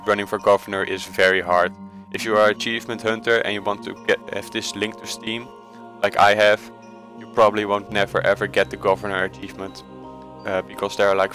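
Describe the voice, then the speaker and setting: A man with a Dutch accent walks through a game tutorial in a low, flat, bright voice. He speaks haltingly, filling pauses with "uh" and correcting himself mid-phrase. Soft music plays behind him. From Netherlands. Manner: hesitant, many uh fillers and self-corrections. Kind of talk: casual screen-recorded tutorial voice-over.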